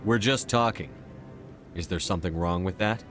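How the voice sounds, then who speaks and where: Guy acting a resolute soldier commander in a game, low voice, quick and direct, music behind. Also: natural voice. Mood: firm. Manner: direct, matter-of-fact. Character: resolute male military commander, blunt, self-assured. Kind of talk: video game dialogue.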